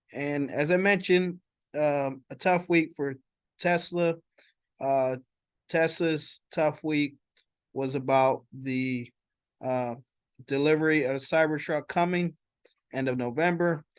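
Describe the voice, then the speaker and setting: A man giving informal market commentary as a stock vlogger, explaining in a halting way and checking in as he goes. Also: fairly high pitch, clean tone, no echo, studio quality.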